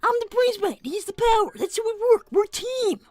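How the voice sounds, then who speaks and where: A young cartoon fox boy played by a woman speaks in a tiny, very high voice. He is brainy but sensitive, sounding earnest, hesitant and worn down as he protests. The sound is dry and close. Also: lively intonation, fast talker, no room sound. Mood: worried, exasperated. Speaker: character performer on a livestream. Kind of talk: improvised in-character conversation.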